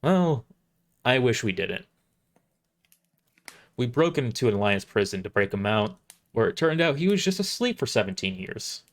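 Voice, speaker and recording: A man's voice: mid-range voice, very animated, moderate pace, long pauses. A man voicing a blunt, sardonic young woman mercenary with a guarded, casual way of talking in an audio drama. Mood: blunt, bitter. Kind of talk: amateur audio drama character reading.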